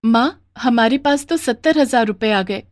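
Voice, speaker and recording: A woman voicing a sensible, hopeful young daughter who talks earnestly and reassuringly in an animated moral story. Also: fairly high pitch, moderate pace, dry recording, clean studio sound, close to an everyday voice. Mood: worried.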